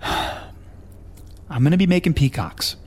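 A man's voice: a little high, slow pace, husky. A man playing a resigned, weary craftsman with a plaintive manner in an audio comedy sketch. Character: resigned, weary male goose builder quitting his craft.

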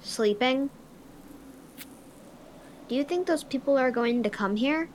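Woman playing a creepy high-voiced boy. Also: takes it slowly, a little mellow, a little hiss or hum. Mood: eerie, meek. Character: creepy, polite young ghost boy. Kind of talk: horror audio drama acting.

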